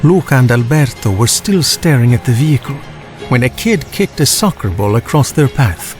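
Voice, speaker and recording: A man's voice: mid-range voice, animated, normal speed, faint music behind. A man reading a children's storybook as a scripted audiobook narrator.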